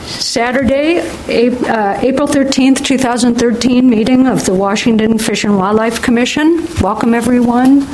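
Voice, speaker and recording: A woman chairs a public hearing in a friendly, orderly way, with the odd filler. Noise can be heard clearly behind her voice, along with some clicks. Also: fairly high pitch, moderate pace, a little mellow, low-quality recording. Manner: friendly, procedural, a few fillers. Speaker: commission chair. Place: public meeting room.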